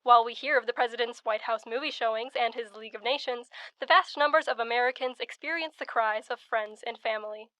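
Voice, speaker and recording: A woman's voice: fairly high pitch, fairly quick, few pauses, a bit bright, no echo, natural voice. A woman playing a grave, dramatic old-time radio news correspondent, delivering scripted commentary in a solemn, oratorical way.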